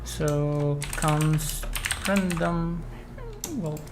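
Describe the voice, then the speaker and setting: A woman from Mexico whose first language is Spanish, speaking English with a Mexican Spanish accent as she live-codes and thinks aloud, halting as she works. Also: low voice, fairly even intonation, noisy background. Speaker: live-coding streamer. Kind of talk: live coding tutorial. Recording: keyboard typing in background.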